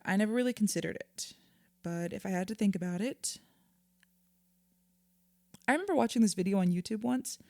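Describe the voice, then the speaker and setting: Actress as therapist for audio drama: patient, probing, reassuring woman, warm mellow tone on the lower side, conversational. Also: dry recording, clean studio sound, natural voice. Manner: measured, reassuring.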